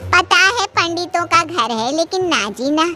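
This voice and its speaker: A woman's voice: extremely high pitch, expressive, measured pace, pushed and bright, heavily acted. A woman voicing an exasperated middle-aged mother-in-law who complains and bosses in a story. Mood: annoyed. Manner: complaining, bossy.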